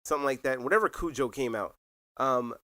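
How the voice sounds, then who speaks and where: A man's voice: medium pitch, expressive, brisk, fairly bright tone, no echo. A middle-aged man co-hosting a casual podcast, rambling with fillers and laughing often. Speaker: podcast co-host. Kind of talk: casual chat.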